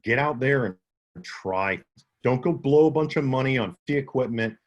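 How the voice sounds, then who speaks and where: A man's voice: mid-range voice, lively intonation, moderate pace, highs cut off. A man with a slight Southern accent, an audiobook narrator answering questions in a conference Q&A over a video call, talking casually and often restarting his sentences. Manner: conversational, with many restarts and self-corrections.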